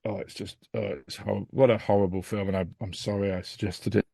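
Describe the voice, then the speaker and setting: A man's voice: on the low side, flat delivery, brisk, vocal fry, warm tone, husky, no room sound. A man chatting casually and bluntly as an opinionated podcast guest. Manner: blunt, sweary.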